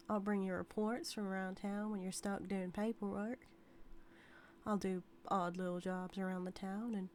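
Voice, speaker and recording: A woman's voice: medium pitch, a little mellow, natural voice. Woman playing a teasing, obsessive cowgirl, noisy backdrop. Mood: possessive. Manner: drawling folksy Western talk, teasing, calls listener darling. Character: obsessive, teasing, dominant outlaw cowgirl, sweetly menacing. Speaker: audio roleplay performer. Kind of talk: dramatic audio roleplay monologue to the listener.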